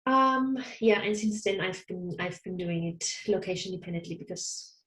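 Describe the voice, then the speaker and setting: A woman whose first language is German, speaking English as an online counsellor in a casual interview, soft-spoken and a little hesitant with frequent small laughs, heard over a video call. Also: lowish voice, normal speed. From Austria. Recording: roomy echo.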